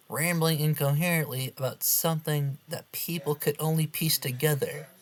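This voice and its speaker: A man reading a scripted first-person horror story aloud as its narrator. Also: on the high side.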